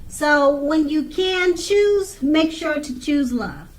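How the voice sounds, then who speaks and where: A woman giving an unscripted tarot card reading in a halting way, pausing and repeating her phrases. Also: sits high, expressive, rough recording. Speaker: tarot reader.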